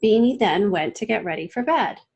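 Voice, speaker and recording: A woman narrating a children's storybook aloud in an expressive read-aloud style. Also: mid-range voice, normal speed, breathy edge, dull audio. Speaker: storybook reader.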